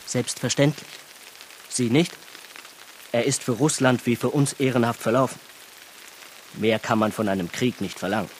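A man's voice: mid-range voice, steady tone, normal speed, long pauses, a little hiss or hum, natural voice. An actor in a radio drama playing a smug, talkative bourgeois businessman, pompous, sardonic and fond of lecturing. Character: smug, talkative bourgeois Berlin businessman, conservative and self-satisfied.

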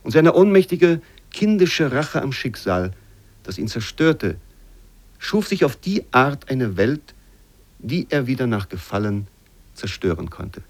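A male narrator delivers scripted literary prose at a mid-range pitch. His reading is formal and measured, with expressive emphasis suited to a radio feature.

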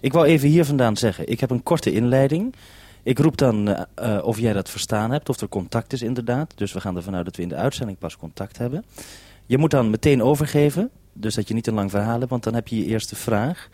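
A man's voice: medium pitch, expressive, a little fast, dark tone, no echo. A man, a radio host giving businesslike instructions over a two-way radio link.

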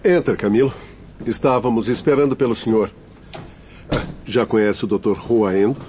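A man's voice: fairly low pitch, normal speed, noisy background, lightly acted. A man playing a stern but benevolent doctor in a radio drama, formal and commanding. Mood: welcoming. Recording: muffled audio with soft music.

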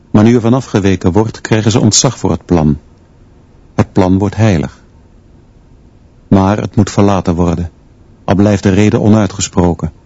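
A man's voice: lowish voice, warm tone, lo-fi sound. A man playing a stern, brooding schoolteacher who narrates his own story in terse, literary sentences for a radio play. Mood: sombre, measured.

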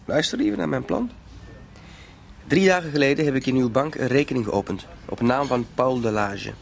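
A man's voice: mid-range voice, moderate pace, creaky, a little hiss or hum, close to an everyday voice. A man playing a self-assured blackmailer in a radio drama, with a mock-polite, insinuating way of talking. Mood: cool, threatening.

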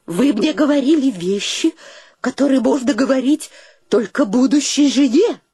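A woman's voice: high voice, lively intonation, moderate pace, warm tone, breathy edge, dry recording, full character voice. A woman playing a coy, marriage-hungry young maiden in a theatrical radio play, with an affected, cooing manner. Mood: indignant.

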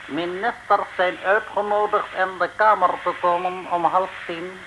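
Slow, high-pitched pompous villain. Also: male voice, fairly even intonation, bright, forceful tone, low-quality recording. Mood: commanding. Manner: slow, declamatory, grandiose. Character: pompous male criminal mastermind dictating takeover orders. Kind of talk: early-1960s radio serial villain acting. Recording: hissy old gramophone-style radio recording.

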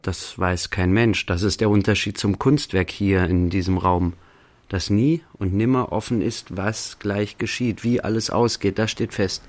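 A man's voice: lowish voice, fairly even intonation, a little slow, close to an everyday voice. A man acting as the narrator of a scripted radio play, observing an art-world party. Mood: observational.

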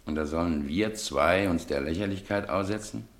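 A man's voice: low voice, moderate pace. An actor playing an eminent, skeptical man of letters, pompous, emphatic and sardonic, in a 1950s radio play. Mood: scornful. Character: Doctor Samuel Johnson, scornful, skeptical eminent man of letters.

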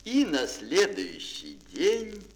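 A man narrating a children's fairy tale as a storyteller in an expressive, theatrical, dramatic manner. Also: sits high, slow.

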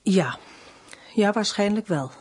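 A husky-voiced beleaguered mother facing a state interrogator in a radio drama. She sounds restrained and weary, with a dry streak of defiance. Her pitch is ordinary for a woman. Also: expressive, takes it slowly. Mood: guarded, wry. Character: beleaguered, wry dissident mother under interrogation. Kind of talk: Dutch radio play acting.

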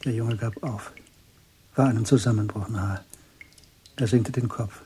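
A man delivering measured, sober scripted narration for a German radio drama in a husky voice. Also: fairly low pitch, fairly even intonation, mellow.

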